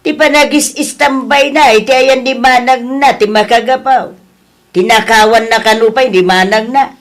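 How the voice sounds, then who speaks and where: A woman's voice: a little high, moderate pace, close to an everyday voice. A woman acting a worried, reproachful middle-aged mother with a Filipino accent in a family audio drama. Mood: accusing. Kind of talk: Ilocano radio-style family drama acting.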